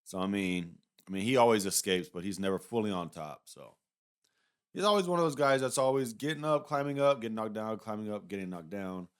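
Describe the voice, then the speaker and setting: A man's voice: fairly low pitch, lively intonation, brisk, smooth. A man giving a casual, unscripted review in a rambling, conversational way, often hedging as he goes. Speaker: YouTube reviewer.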